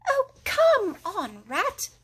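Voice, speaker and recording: A woman voicing a small, fussy male mole who complains in a high, whining, crying tone. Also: big pitch swings, normal speed, a bit bright, full character voice. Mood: grumbling. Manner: pettish, complaining, exasperated.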